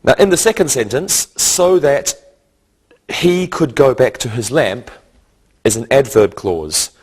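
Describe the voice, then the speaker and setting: A man teaching English grammar in a scripted lesson, speaking in a deliberate, didactic way and setting out each point in short phrases. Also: medium pitch, animated, darkish tone, slightly rough.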